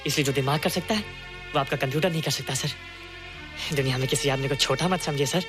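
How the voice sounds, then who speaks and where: Young male student, acted by a man, childlike, earnest, gentle, film drama, clear music underneath. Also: medium pitch, a little fast, lightly acted. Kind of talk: Hindi film dialogue.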